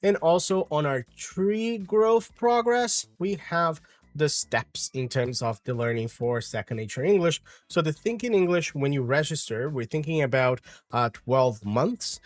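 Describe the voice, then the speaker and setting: A man's voice: a little high, no room sound. A man in his forties with a Brazilian Portuguese accent, an English teacher patiently explaining his course step by step.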